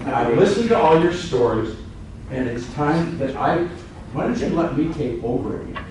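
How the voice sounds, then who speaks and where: A man's voice: fairly high pitch, expressive, moderate pace. A man giving a rambling, folksy, earnest presentation to a live audience in an event room. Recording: echoey room, far from the mic, noisy.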